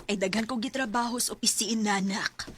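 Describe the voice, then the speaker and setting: An actress playing a middle-aged widowed mother talking softly to her grown son in a Bisaya radio drama. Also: mid-range voice, brisk, lightly acted. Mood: casual, affectionate. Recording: noisy radio drama with household sound effects.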